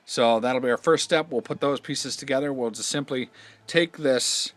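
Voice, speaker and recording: A man giving an informal, step-by-step product demonstration, explaining in a halting way as he goes. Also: mid-range voice, fairly bright tone, dry recording. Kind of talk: hands-on instructional demonstration.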